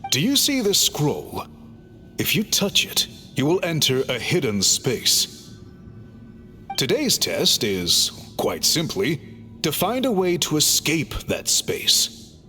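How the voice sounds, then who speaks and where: Calm formal chief guy, explains things politely, bright lively voice, faint music. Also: a little high, takes it slowly, full character voice. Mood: reassuring. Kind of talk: game cutscene voice acting.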